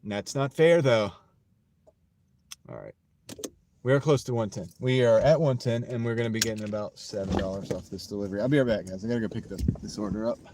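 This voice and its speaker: A man with a lowish voice rambles and jokes casually while driving for deliveries, laughing at his own asides. He sounds set back from the mic, and a loud rumble of the car on the road fills the background. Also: fast, far from the mic. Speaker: delivery driver streaming live. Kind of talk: casual rambling live-stream chat. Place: inside a moving car. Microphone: wireless clip-on mic.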